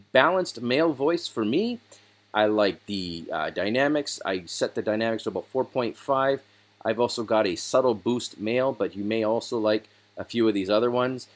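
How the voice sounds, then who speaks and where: A man talks through a software tutorial in an easygoing, chatty voice, pausing for small fillers as he goes. His pitch sits in a middle range, and he speaks from a desk mic at his computer. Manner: casual, relaxed explaining with fillers. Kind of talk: screen-recording tutorial voice-over.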